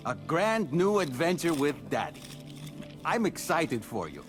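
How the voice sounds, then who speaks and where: A man's voice: on the high side, very animated. A man acting in a video game as a living doll, a former spirit medium with a courtly, dramatic, encouraging manner. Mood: encouraging, excited. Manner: theatrical, courteous, deliberate. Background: background music.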